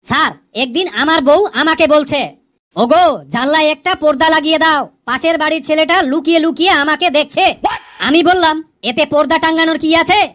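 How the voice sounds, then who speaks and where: A woman's voice: sits high, moderate pace, a bit bright. A woman voicing a cheeky male pupil in a comedy cartoon, explaining things to the teacher with mock earnestness. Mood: mock-earnest. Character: cheeky male pupil Kalu, joking about wives and women.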